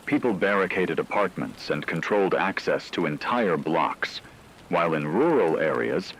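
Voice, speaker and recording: A man reading scripted, documentary-style dramatic narration in a measured, solemn way. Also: low-pitched, gravelly, a bit bright, slight background noise, rough recording.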